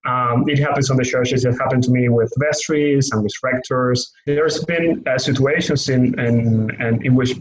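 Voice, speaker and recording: A man's voice: mid-range voice, steady tone, normal speed, hardly any pauses, low-quality recording. A young man from Cuba with a Cuban Spanish accent, a Spanish native speaker, speaking thoughtfully and searching for words as he reflects on his life in a personal interview. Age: young adult. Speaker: lay pastoral care worker.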